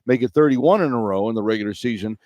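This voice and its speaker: A man's voice: mid-range voice, quick pace, a little mellow, dry recording. A middle-aged or older man hosting radio sports coverage, giving postgame commentary. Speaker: play-by-play radio host. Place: indoor arena broadcast position.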